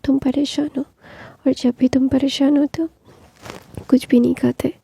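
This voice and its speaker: A woman voicing a tender, caring young man, in a soft whisper. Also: sits high, flat delivery, measured pace, creaky.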